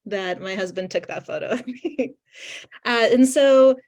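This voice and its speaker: A middle-aged woman from Ukraine whose first language is Russian, reading her poems slowly and deliberately over a video call. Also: fairly high pitch, a little mellow. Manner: slow, deliberate reading with weighted pauses. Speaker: poet. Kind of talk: poetry reading. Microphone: video call microphone.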